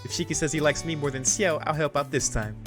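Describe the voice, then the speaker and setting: A man's voice: on the high side, fast, voice pushed up, close to an everyday voice. A man voicing a blunt, self-assured, ancient vampire woman from a visual novel, coolly insistent. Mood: smug, bargaining. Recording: music behind the voice.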